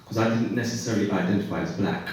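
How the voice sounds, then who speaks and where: Deep-voiced man in an echoey hall. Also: a little mellow. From Britain. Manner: reflective, pausing mid-thought. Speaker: panelist, care leaver. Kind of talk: personal testimony on a panel. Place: conference hall. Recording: echoey hall, voice far from mic.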